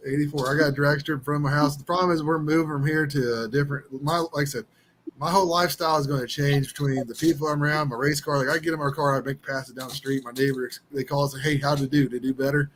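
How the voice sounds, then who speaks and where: A man's voice: fairly high pitch, steady tone, quick pace, a bit bright, slight background noise. A man in his thirties chatting casually on a livestream from home over a laptop, rambling with many restarts. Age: 30s. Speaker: livestream host. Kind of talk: casual chat.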